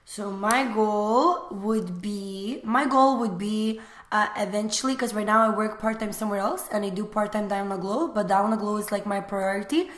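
A woman's voice: mid-range voice, normal speed, hardly any pauses. A young woman from Lithuania, chatting casually as an interview guest about her small business, with lots of fillers. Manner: relaxed, chatty, many fillers. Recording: echoey room.

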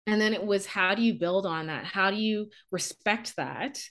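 A woman's voice: medium pitch, moderate pace, fairly bright tone. Earnest, reflective woman from the Bahamas. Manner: earnest, reflective, laughs easily. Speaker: business coach. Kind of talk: podcast interview guest.

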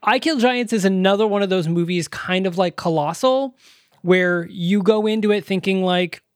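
A man with a high, boyish voice chats loosely as a podcast host. He rambles with lots of fillers and pokes fun at himself. The sound is dry and close. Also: no room sound. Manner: quick, rambling, self-deprecating with many likes. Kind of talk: casual podcast chat.

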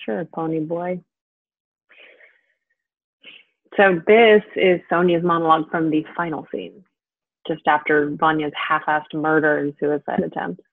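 An actress playing a gentle, wistful woman, speaking softly and earnestly in a measured, intimate, naturalistic drama style. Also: sits low, flat delivery, no echo. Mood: wry, gentle. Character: gentle, wistful actress talking to an old friend by phone. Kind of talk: intimate naturalistic audio drama acting and monologue. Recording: tinny, band-limited phone line.

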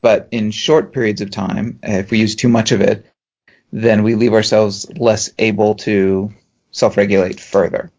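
Low-voiced professor explaining calmly. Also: male voice, highs cut off. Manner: measured, explanatory, dry humour. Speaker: professor and podcast co-host. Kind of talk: explanatory chat.

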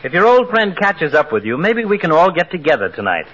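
Wisecracking doctor: bright, forceful, rough-edged voice, sardonic, dull 1940s radio recording. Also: male voice, a little high, sing-song, brisk, exaggerated voice. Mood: dry mockery. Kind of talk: 1940s radio comedy acting.

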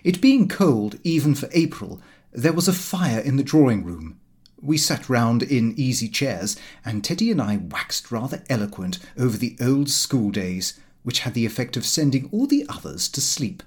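Expressive man narrating, very lively pitch. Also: normal speed, breathy edge. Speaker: audiobook narrator. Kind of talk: scripted first-person narration of a comic diary.

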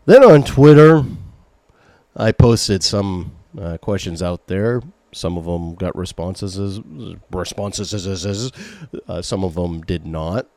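A man's voice: sits low, slow pace, a little mellow, no room sound. A middle-aged man with a Maine accent hosting a podcast, chatting loosely and rambling as he reacts to listener questions. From Maine, USA. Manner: chatty, rambling, reads listener messages aloud. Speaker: podcast host. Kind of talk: casual solo podcast talk.

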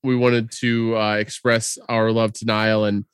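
A man co-hosting a podcast in casual chat, halting and laughing easily. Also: on the low side, level tone, smooth, no room sound, studio quality. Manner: halting, many fillers and restarts, laughs easily. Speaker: podcast co-host.

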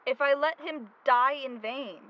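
A woman's voice: high-pitched, unhurried, dull audio. An actress playing a driven, stubborn young woman in grief, speaking insistently in an audio drama. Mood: urgent, pleading. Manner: insistent, urgent. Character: driven, stubborn, grieving young woman hunting her missing friend.

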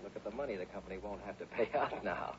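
A man's voice: on the low side, fast, natural voice. An actor playing a breezy, slangy insurance company man in a 1960s radio drama. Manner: casual, slangy. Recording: muffled, hissy old radio recording.

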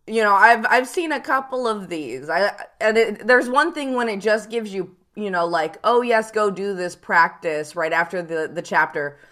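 A woman's voice: mid-range voice, expressive, moderate pace, few pauses, a bit bright. A woman hosting a podcast, asking questions in an enthusiastic, encouraging way. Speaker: podcast host. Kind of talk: podcast interview.

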